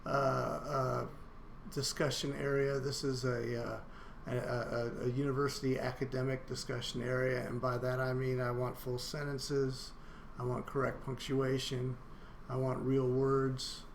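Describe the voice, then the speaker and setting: Monotone halting male lecturer. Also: mid-range voice, gravelly, a little hiss or hum. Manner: halting, many "uh" fillers and restarts. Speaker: university instructor. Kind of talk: recorded online course syllabus walkthrough. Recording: roomy echo, faint room ambience.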